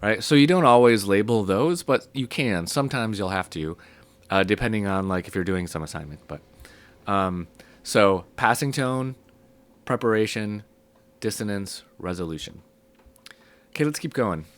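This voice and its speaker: A man's voice: fairly low pitch, normal speed. Sick man teaching music theory. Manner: relaxed, thinking aloud with many ums. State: sick, voice low and hoarse. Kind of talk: casual spoken lesson.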